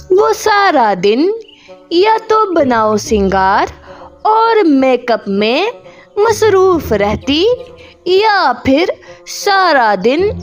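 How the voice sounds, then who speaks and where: Female narrator for a children's moral cartoon: high, very animated intonation, deliberate phrase-by-phrase delivery. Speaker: storyteller.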